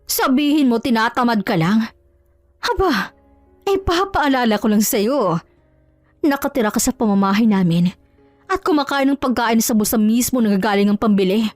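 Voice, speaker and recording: A woman's voice: a little high, animated, moderate pace, long pauses, no echo, soft background music, natural voice. A woman voicing a haughty, scornful middle-aged mother, bossy and sneering, in a dramatized love story. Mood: scornful, angry.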